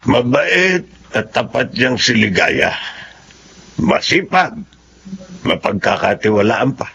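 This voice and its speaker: Household employer, measured and kindly, creaky voice, Filipino radio drama style. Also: male voice, mid-range voice, sing-song, bright, forceful tone, a little hiss or hum, heavily acted. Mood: approving, calm.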